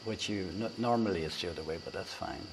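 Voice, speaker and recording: A man with an Irish accent, hosting a casual product review on a lavalier mic, talking in a halting way with many fillers and restarts. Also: sits low, background noise. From Ireland. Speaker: tech reviewer, channel host. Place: indoors in a room with a fan, then outdoors by a busy road.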